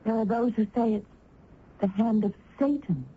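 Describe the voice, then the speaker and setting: An actress playing a tender, troubled young village niece in a radio drama, earnest and emotional. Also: female voice, a little high, moderate pace, slight background noise, close to an everyday voice. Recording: dull old radio recording.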